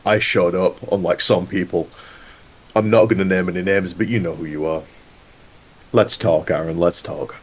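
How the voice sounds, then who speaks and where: A hoarse, sick-sounding man talking as a tag team champion, trading cocky, jokey trash talk. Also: low-pitched, steady tone, normal speed, poor audio.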